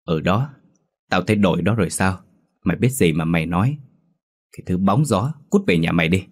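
A man voicing a desperate young village man who shouts and pleads, in a storybook reading. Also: sits low, lively intonation, a little fast, slightly rough. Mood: angry.

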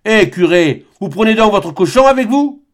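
A rough old peasant uncle sneers and taunts at the top of his voice, as a male reader puts him on. His pitch jumps high and swings wildly. It sounds like an audiobook reading. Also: animated, moderate pace. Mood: mocking. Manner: jeering, loud and high.

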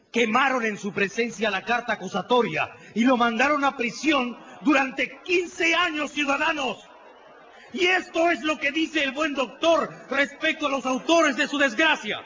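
An actor playing a vengeful revolutionary tavern keeper giving oratorical, impassioned testimony in a radio drama. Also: male voice, very high-pitched, pushed and bright. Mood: vengeful, declaiming. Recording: dull, noisy radio drama recording.

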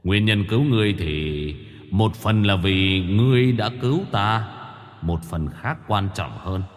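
A man's voice: fairly low pitch, a little slow, in a large hall, natural voice. A man voicing an ancient lamp spirit, a middle-aged man who speaks in solemn, self-important pronouncements.

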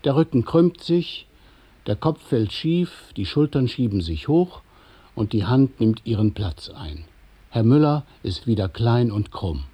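A man narrating a radio play from a script, describing each movement in a measured, precise and detached way. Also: medium pitch, lively intonation, mellow, slightly rough.

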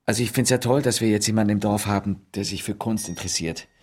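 A man's voice: fairly low pitch, quick pace, a little mellow, husky. An actor playing an awkward, friendly village man who rambles and is eager to please, in a radio play. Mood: chatty.

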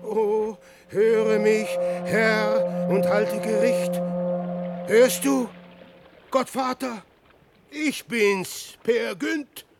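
An actor playing a boastful, self-pitying dreamer and adventurer in a radio play, declaiming between hushed brooding and grand exclamation. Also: male voice, high voice, drawn-out words, gravelly, soft background music, heavily acted. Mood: desperate pleading. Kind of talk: theatrical German radio play monologue.

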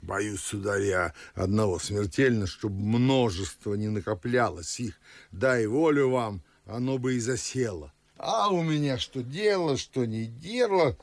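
A man's voice: medium pitch, unhurried, dry recording, close to an everyday voice. An actor playing a pompous, suspicious middle-aged father and master in a classic verse radio drama, grumbling and scolding theatrically. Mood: sardonic, grumbling.

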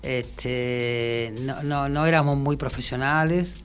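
A man's voice: medium pitch, slow pace, a crowd in the background. A middle-aged Argentine man with a Rioplatense accent, a musician chatting as an interview guest, informal and emphatic, often repeating phrases. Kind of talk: casual interview chat.

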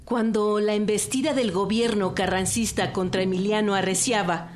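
Female narrator, medium pitch, scripted history over clear music. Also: fairly even intonation, moderate pace.

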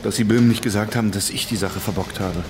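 A man's voice: on the low side, steady tone, fast talker, background noise, close to an everyday voice. An actor playing a reserved junior policeman in a German radio drama. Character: reserved junior male policeman, grateful to his partner. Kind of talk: German radio play acting.

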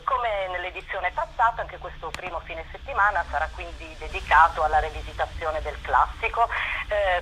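A woman's voice: lowish voice, sharp tone, a little hiss or hum. A woman explaining a programme in a radio interview, wordy and full of filler phrases, over a phone line. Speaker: festival representative, interview guest. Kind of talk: promotional radio interview, running through a programme.

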